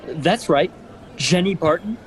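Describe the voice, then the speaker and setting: A man's voice: high-pitched, unhurried, slight background noise, rough recording. A man playing a knowing, politely probing stranger from a woman's past in an old-time radio drama. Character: insinuating man confronting a woman from his past.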